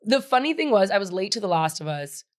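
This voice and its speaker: A woman's voice: medium pitch, big pitch swings, quick pace. A woman co-hosting a podcast with casual, teasing banter and dramatic comic emphasis. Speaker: podcast co-host.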